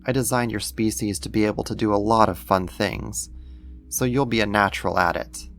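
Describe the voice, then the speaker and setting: A man playing a doting alien creator who soothes a human pet, affectionate and gently possessive, in an ASMR roleplay. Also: on the low side, moderate pace, soft background music. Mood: proud, reassuring.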